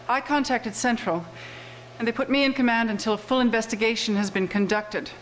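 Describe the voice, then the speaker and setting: A woman's voice: a little high, steady tone, lightly acted. Woman acting as formal resistance commander, noisy audio. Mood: grave, official. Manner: formal, measured, matter-of-fact. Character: businesslike adult woman resistance commander briefing soldiers. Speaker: military commanding officer. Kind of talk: video game cutscene acting.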